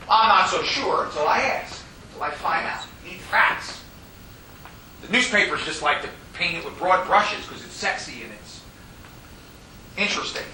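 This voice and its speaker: A man's voice: high voice, expressive, normal speed, bright, forceful tone. An American man giving an informal classroom lecture, talking in an emphatic, conversational way. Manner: emphatic, conversational, acts out quoted dialogue. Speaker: law lecturer teaching a class. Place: classroom, recorded from a distance. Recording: echoey room, distant mic, faint noise.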